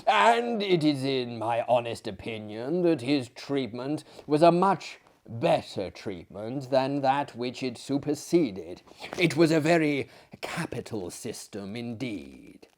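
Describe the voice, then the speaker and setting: A man voicing a sly, boastful older gentleman in a dramatic literary story, with a theatrical, relishing, deliberate delivery. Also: medium pitch. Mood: smug relish. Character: sly, boastful older Frenchman running an asylum.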